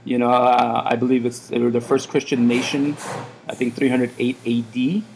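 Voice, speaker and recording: A man's voice: medium pitch, little pitch movement, normal speed, gravelly, a little mellow, slight background noise, poor audio. Laid back guy in his 30s rambling thru an interview, lots of uh and um, restarting sentences. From Iran. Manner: rambling, many fillers and restarts. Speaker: café owner. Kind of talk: casual interview.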